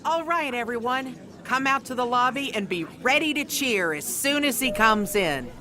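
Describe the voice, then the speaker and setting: Actress as a high-voiced, scornful woman boss. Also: lively intonation, normal speed, lightly acted. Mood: brisk, irritated. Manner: curt, scornful, commanding. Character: sarcastic, domineering agency boss woman who rules by fear. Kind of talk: comedy audio drama acting.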